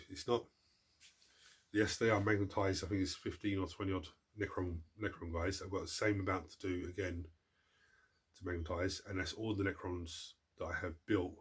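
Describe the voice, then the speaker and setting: A low-voiced man with a husky, breathy tone, talking casually to his viewers. He sounds blocked up from a cold and tends to ramble, doubling back and restarting his words. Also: steady tone, moderate pace, pauses often. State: congested from a cold. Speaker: hobby channel host answering viewer questions. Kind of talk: casual chat.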